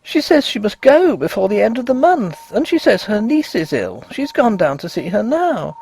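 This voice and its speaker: Guy doing a upset young wife in a storybook, high pitched, weepy and fretful, really emphatic. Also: very animated, normal speed, gravelly, a little mellow, slight background noise, exaggerated voice. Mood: tearful, upset. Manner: emphatic, complaining. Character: distressed young wife, tearful, fretful and earnest. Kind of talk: acted audiobook dialogue.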